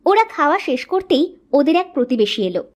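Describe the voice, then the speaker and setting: A woman's voice: high-pitched, big pitch swings, fairly quick. A woman reading scripted narration for a cartoon moral story as its narrator.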